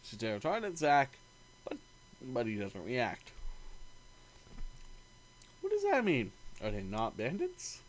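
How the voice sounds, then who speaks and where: A man's voice: medium pitch, wildly swooping pitch, slow pace, pauses often, poor audio. A man reading a visual novel aloud in a let's play, narrating the story and acting out the dialogue expressively.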